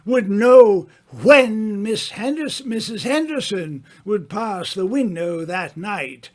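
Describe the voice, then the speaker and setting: A man acting in an old-time radio mystery as a suave, smug gentleman sleuth, with a theatrical, accusing delivery. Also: high-pitched, exaggerated voice.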